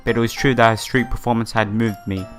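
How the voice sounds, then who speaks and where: A man's voice: medium pitch, fairly even intonation, quick pace, faint music behind. A man reading a visual novel aloud in a casual playthrough, stumbling over words now and then and reacting with his own commentary. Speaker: gaming YouTuber.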